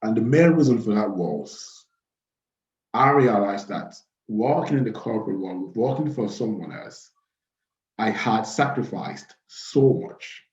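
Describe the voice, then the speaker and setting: A man in his thirties from Cameroon hosting an informal live webinar, speaking haltingly and repeating phrases. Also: lowish voice, animated. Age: 30s. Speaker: webinar host. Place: small plain room indoors. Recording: roomy, dull webcam audio.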